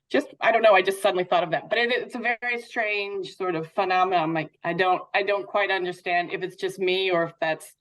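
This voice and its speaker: A woman's voice: on the low side, a bit bright, poor audio. A North American woman speaking as an interview guest, reflecting candidly and informally as she thinks aloud. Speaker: patient advocate guest.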